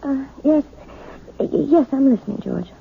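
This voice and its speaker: An actress playing a timid, frightened housewife in a 1970s radio drama, talking hesitantly and stammering. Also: female voice, sits high, lively intonation, measured pace, creaky, exaggerated voice. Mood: anxious. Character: timid housewife, afraid of dogs. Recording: tinny phone-like old radio recording.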